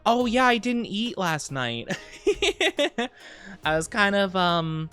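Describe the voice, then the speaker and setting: A woman's voice: mid-range voice, very animated, takes it slowly, fairly bright tone, clean tone, faint music behind. A woman streamer casually chatting with her viewers from her home streaming room, playful and teasing as she answers chat.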